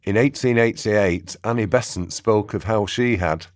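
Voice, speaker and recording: A man's voice: on the low side, measured pace, no room sound, clean studio sound. A man narrating a historical radio play in a scripted documentary style.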